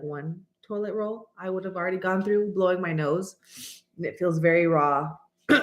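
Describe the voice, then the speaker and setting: A woman in her late thirties, stuffy and nasal from allergies, chatting casually and rambling to her viewers while often clearing her throat. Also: lowish voice, reverberant. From American. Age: 30s. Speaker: channel host. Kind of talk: casual vlog chat.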